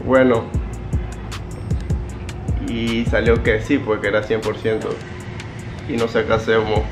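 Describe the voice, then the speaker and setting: A man's voice: on the low side, little pitch movement, a little slow, music in the background. A man in his early twenties with a Panamanian Spanish accent, chatting casually and in slang as a YouTuber making prank phone calls. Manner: slangy, informal. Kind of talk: casual prank-call chat. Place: living room indoors, on a couch.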